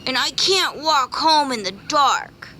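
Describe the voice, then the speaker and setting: A greedy, excitable little boy bee from a picture book, acted out by a woman. The voice is high and bright, full of whiny exclamations and boasts. Some noise sits behind it. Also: slow, full character voice. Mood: frightened, wailing. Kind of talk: children's storybook character voice.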